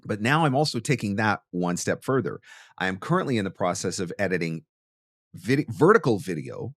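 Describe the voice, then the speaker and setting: A man's voice: lowish voice, expressive, moderate pace, no echo, clean studio sound. A man hosting an instructional podcast, speaking emphatically and repeating phrases to drive points home. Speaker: podcast host and voice actor.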